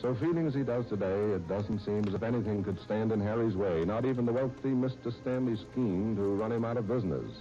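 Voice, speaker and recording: Male 1930s American announcer; low, warm, measured narration, muffled broadcast with music behind. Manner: formal, measured, polished delivery. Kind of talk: 1930s radio scripted narration.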